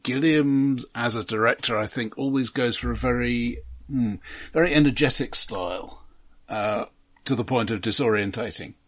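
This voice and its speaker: Man, husky medium voice, measured factual film podcast talk, kinda halting. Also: mid-range voice. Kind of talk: informative film discussion.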